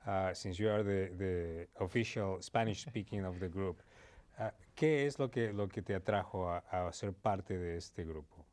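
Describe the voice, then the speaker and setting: A man's voice: low-pitched, normal speed, darkish tone. A man interviewing guests on a TV show, laughing often and restarting his questions. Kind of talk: casual interview.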